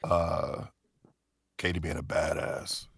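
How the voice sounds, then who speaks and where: A man's voice: low-pitched, little pitch movement, takes it slowly, creaky. A man bantering casually as a voice actor, jokey, repeating his short interjections.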